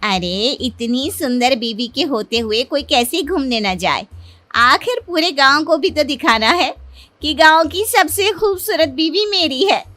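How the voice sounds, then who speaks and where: Casting: woman as gossipy middle-aged village auntie for animated tale. Nosy, teasing delivery over background music. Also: high voice, measured pace, dry recording, voice pushed up, full character voice. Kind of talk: dramatised cartoon moral story.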